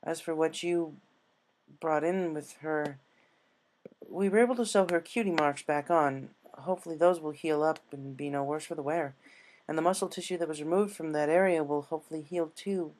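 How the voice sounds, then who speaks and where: A man voicing a solemn, apologetic female nurse pony with a gentle, hesitant, grave delivery. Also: on the high side, moderate pace, pitched above the performer's own voice, lightly acted, rough recording.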